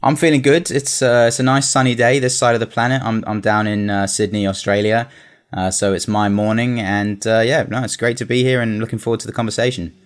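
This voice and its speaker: A man's voice: fairly low pitch, level tone, brisk. A man with a London accent, a cognitive scientist answering interview questions thoughtfully, often restarting his phrases. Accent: London English. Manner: thoughtful, frequent restarts and fillers.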